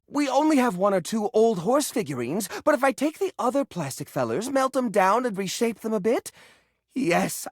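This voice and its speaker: An actor voicing a quirky, sentimental male junk drawer come to life in a video game, fussy, earnest and expressive. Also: very high-pitched, slightly rough, dry recording, clean studio sound, heavily acted. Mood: delighted, inspired. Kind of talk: video game character acting.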